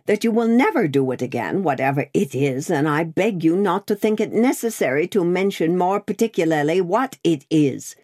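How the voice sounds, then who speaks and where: A woman's voice: fairly low pitch, lively intonation, moderate pace, few pauses, darkish tone. A woman voicing an agitated, prim and devoted Englishwoman in a storybook reading, urgent and tearful. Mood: flustered, composing herself. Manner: urgent, exclaiming, prim and formal.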